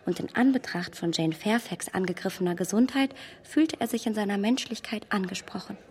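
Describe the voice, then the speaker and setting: An actress playing a strong-willed, self-assured young heroine who talks emphatically in a hushed voice, in a radio play. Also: female voice, mid-range voice, a little fast, lightly acted.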